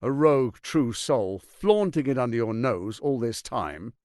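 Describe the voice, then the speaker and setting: An actor playing a suave, ambitious, scheming lord in a video game, speaking in a theatrical, articulate and condescending way. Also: male voice, medium pitch, lively intonation, measured pace, a little mellow, no room sound, studio quality, lightly acted. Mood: persuasive. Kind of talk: dramatic video game voice acting.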